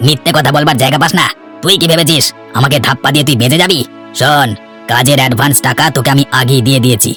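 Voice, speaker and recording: A man's voice: medium pitch, steady tone, rapid-fire, a bit bright, soft background music, close to an everyday voice. A man voicing a menacing, bullying village schemer in a cartoon story, domineering and threatening. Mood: angry threatening.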